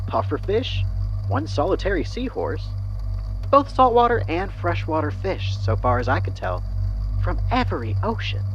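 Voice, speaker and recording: An actor playing an eccentric, courteous, musing storyteller with a folksy, wordy, theatrical manner in an audio drama. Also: male voice, fairly high pitch. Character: eccentric, courteous, philosophical storyteller man from Tennessee. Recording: dull lo-fi audio with clear noise.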